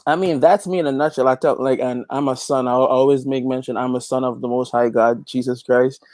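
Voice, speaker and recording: A man's voice: medium pitch, fairly even intonation, a little fast, a little mellow, no echo, studio quality. A man with a Guyanese accent chatting casually as an interview guest, easygoing and folksy. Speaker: interview guest, gospel reggae artist. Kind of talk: casual chat.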